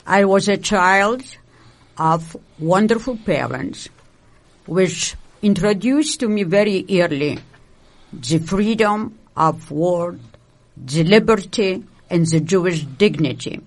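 An elderly woman from Poland, a native Yiddish speaker with a Polish Yiddish accent, giving personal testimony in deliberate, halting phrases. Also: on the low side, animated. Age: 70s or older. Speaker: Holocaust survivor giving testimony.

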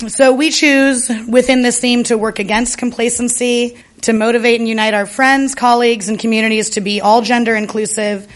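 A woman hosting a library event, welcoming the audience and introducing the speaker in a clear, measured way from prepared remarks. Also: fairly high pitch. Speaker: event host, shop director.

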